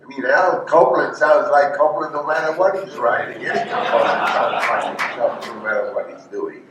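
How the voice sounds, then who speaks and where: A man's voice: mid-range voice, brisk. A man speaking off the cuff in an archival recording of a discussion. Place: echoey room, far from the microphone. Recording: very echoey, distant, noisy archival room recording.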